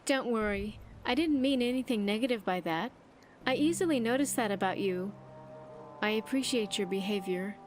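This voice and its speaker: A woman's voice: mid-range voice, normal speed, long reverb tail, soft background music, close to an everyday voice. A woman playing a wistful, earnest young vault survivor in a video game, speaking in careful, formal phrases. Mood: earnest, reassuring. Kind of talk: video game companion dialogue.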